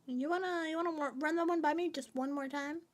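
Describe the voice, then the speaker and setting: A woman's voice: high-pitched, fairly even intonation, fast talker. A woman streamer chatting casually with her viewers, rambling and joking in a playful, sing-song way. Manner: rambling and jokey, reading chat aloud.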